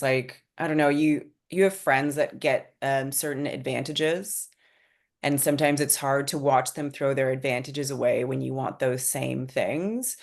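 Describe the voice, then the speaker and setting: Woman writer-director, very deep register, talking informally and thoughtfully about creative work. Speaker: screenwriter and director. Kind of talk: casual discussion after a script table read.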